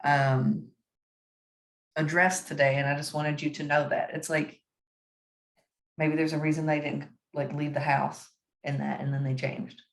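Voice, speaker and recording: A woman with a deep, low voice leads a class discussion forum over a video call. She talks conversationally and keeps checking in with her listeners. The room around her echoes noticeably. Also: level tone, a little slow, pauses often. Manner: conversational, often adds "right?" to check in. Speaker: discussion moderator.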